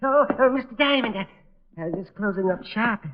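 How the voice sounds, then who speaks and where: High-pitched actor's timid male shop manager, anxious, plaintive, old-time radio drama, tinny narrow recording. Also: brisk, faint music behind, natural voice. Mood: nervous. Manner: anxious, hesitant, lamenting. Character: fretful, hard-luck, timid male import shop manager. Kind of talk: 1950s radio detective drama acting. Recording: thin, band-limited old radio recording.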